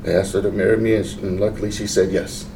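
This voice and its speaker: Low, mellow middle-aged man in a roomy space. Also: flat delivery, slight background noise. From Portland, Oregon. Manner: unhurried, halting with fillers and restarts. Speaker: interview guest, veteran. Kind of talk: casual life-story interview. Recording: roomy, mic at a distance.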